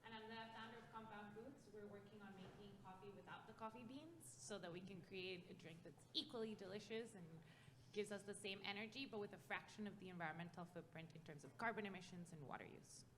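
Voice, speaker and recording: A woman from Costa Rica introduces herself as a founder, talking very quickly and concisely. The room around her is echoey, and clear background noise runs under her voice. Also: mid-range voice, a bit bright. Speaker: panelist, startup founder.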